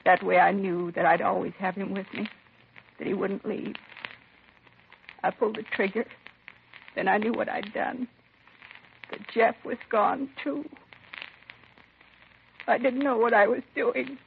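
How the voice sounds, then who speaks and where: A woman's voice: medium pitch, steady tone, measured pace, creaky, pauses often. An actress playing a possessive, defensive older mother in a 1950s crime radio drama. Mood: anguished confession. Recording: tinny, crackly 1950s radio recording.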